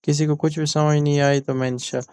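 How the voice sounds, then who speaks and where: A man's voice: a little high, little pitch movement, a little mellow, smooth. A man narrating an informal tutorial, explaining step by step and repeating himself. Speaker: tutorial presenter.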